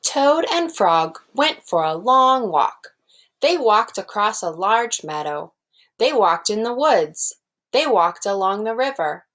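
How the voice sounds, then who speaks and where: A woman's voice: mid-range voice, very animated, takes it slowly, a bit bright. A woman teacher explaining things simply to young children and reading a storybook aloud.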